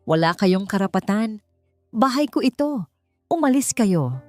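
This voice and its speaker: A woman's voice: mid-range voice, very animated, unhurried, a little mellow, faint music behind, pitched above the performer's own voice. A woman narrator playing an angry, frightened old mother-in-law in a melodramatic audio story. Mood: defiant panic. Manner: angry, frantic.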